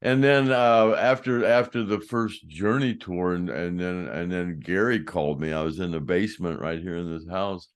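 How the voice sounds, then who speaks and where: Older guy, real deep low voice, rambly storytelling, keeps restarting sentences. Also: normal speed, few pauses, clean tone. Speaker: host, former band roadie. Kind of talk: casual storytelling chat.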